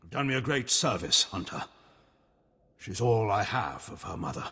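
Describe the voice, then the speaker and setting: A man's voice: lowish voice, lively intonation, normal speed, vocal fry, sharp tone, breathy edge, in a large hall, full character voice. An actor playing an imperious admiral and anguished father in a video game, formal and theatrical in manner. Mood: moved, subdued.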